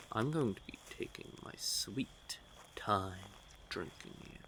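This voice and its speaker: Man acting as a hungry male vampire, lowish pitch, teasing and smug, flirty menace, intimate audio drama, noisy ambient backdrop. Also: vocal fry. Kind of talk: audio roleplay, speaking intimately to one listener. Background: outdoor night forest ambience effects.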